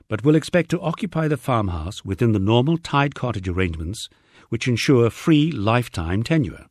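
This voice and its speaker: Low-voiced British man narrating, lively intonation. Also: no echo, studio quality. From United Kingdom. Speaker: audiobook narrator. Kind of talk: scripted first-person memoir narration.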